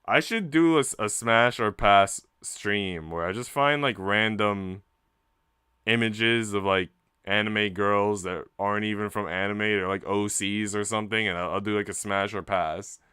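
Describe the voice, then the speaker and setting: Bright, clear, low-voiced male streamer. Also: a little slow, no room sound, studio quality. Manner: informal, slangy when chatting. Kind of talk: reading a visual novel aloud with casual chat.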